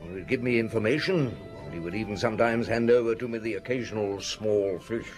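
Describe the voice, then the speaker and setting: Deep, musing police chief inspector played by a man. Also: faint music behind, close to an everyday voice. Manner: musing, measured, with thoughtful hums. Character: shrewd, unhurried male police chief inspector, mature. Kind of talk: radio drama dialogue.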